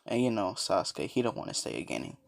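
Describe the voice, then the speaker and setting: A man with a raspy, just-woken-up voice telling a fan story in a casual, rambling way. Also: sits low, very animated, fast. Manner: rambling, laid-back, lots of fillers. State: just woke up, dry voice. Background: background music.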